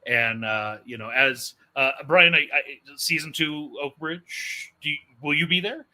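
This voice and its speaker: A man's voice: a little high, a little slow, bright, forceful tone. A man hosting an interview show in casual chat, with an informal, joking manner. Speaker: host of an audio drama interview show.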